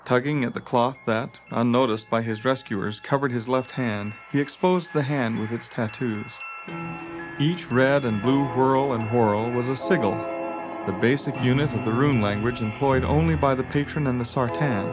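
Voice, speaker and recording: A man's voice: mid-range voice, normal speed, loud music behind the voice. A man reading scripted fantasy narration dramatically, as an audiobook narrator. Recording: dull lo-fi tape transfer.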